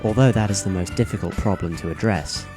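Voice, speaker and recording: A man voicing a polite, smiling teenage boy who speaks in a calm, courteous, measured way. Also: low voice, music in the background, close to an everyday voice. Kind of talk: fan audio drama acting.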